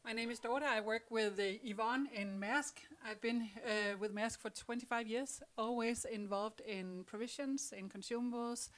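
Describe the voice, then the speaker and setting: A woman's voice: on the high side, moderate pace, hardly any pauses. A woman on a panel giving a friendly, slightly hesitant self-introduction. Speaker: panel speaker.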